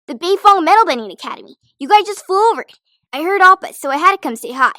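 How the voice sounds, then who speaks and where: A brash tomboy martial-arts teacher voiced by a woman, young and tough. Her voice is high and sharp, with a blunt, cocky, bossy attitude suited to an animated adventure. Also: fast, no room sound, clean studio sound, full character voice. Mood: cheerful, proud. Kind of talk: animated-style comic dub acting.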